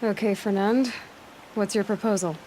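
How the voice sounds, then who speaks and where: A woman's voice: medium pitch, fairly even intonation, normal speed, dark tone, slight background noise, lightly acted, rough recording. An actress playing a self-assured young woman hunter in a video game, dry, guarded and skeptical. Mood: wry, skeptical. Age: young adult. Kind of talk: video game dialogue acting. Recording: music clearly behind the voice.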